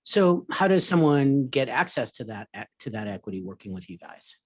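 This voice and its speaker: A man's voice: medium pitch, very animated, normal speed, husky. A man co-hosting a podcast, chatting casually and asking short, easygoing questions. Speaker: podcast co-host.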